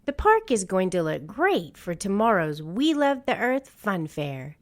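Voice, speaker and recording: A woman's voice: mid-range voice, very animated, measured pace. A woman voicing a confident, upbeat boy leader of rescue pups in a storybook, encouraging and decisive. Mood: upbeat, assured. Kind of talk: storybook character reading.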